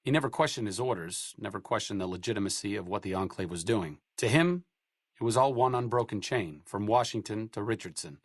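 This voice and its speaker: A man's voice: on the low side, lively intonation, fairly quick, no room sound. An actor playing a bookish, dry-witted male doctor, speaking with sarcastic, literate quips in video game dialogue. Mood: reflective.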